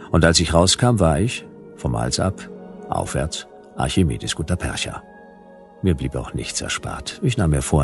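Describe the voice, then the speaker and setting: An actor playing a world-weary private detective, narrating a sci-fi radio play in a laconic, ironic, hard-boiled style. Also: male voice, extremely low pitch, vocal fry, exaggerated voice. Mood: deadpan, resigned.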